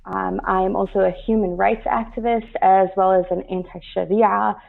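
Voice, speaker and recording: An earnest woman from the United States tells her own story as an interview guest. She speaks steadily with frequent pauses and has a slightly gravelly edge. The sound is thin and phone-like from a video call. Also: medium pitch, steady tone. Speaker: guest activist. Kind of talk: personal account in an interview. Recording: tinny phone-like line.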